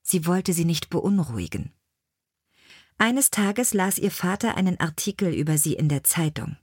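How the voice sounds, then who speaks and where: Low-voiced woman narrating a storybook. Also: very animated, normal speed, darkish tone, husky, no room sound, clean studio sound. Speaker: audiobook narrator.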